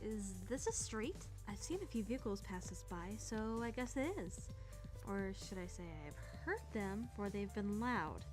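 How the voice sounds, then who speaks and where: A woman's voice: mid-range voice, animated, unhurried, natural voice. A woman playing a sarcastic, easily flustered teenage girl trainee in a visual novel dub, narrating her thoughts and stammering when nervous. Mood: wry narrating. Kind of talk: first-person visual novel narration and dialogue. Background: music.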